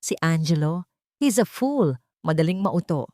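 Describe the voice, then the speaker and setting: A woman voicing a scheming, defiant mistress in an audio love drama, sharp and emphatic. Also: low voice, expressive, measured pace, dry recording, studio quality. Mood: scornful. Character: scheming mistress, defiant and panicky when cornered.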